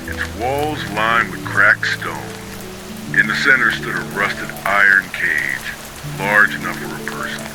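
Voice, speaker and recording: A man narrating scripted ghost stories for sleep in a measured, dramatic storytelling manner. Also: on the low side, rough recording.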